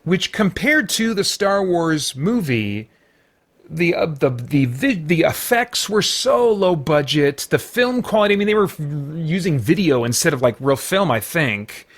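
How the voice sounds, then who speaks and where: Higher-voiced American man, rambling casual review. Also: very animated. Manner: halting, rambling, thinking aloud. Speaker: review show host. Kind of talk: casual unscripted film review.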